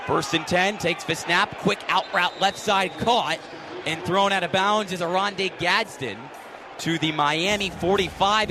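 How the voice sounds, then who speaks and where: A young man calling sports play-by-play with animated, punchy, emphatic delivery. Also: high voice. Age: young adult. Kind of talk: energetic sports commentary and highlight narration.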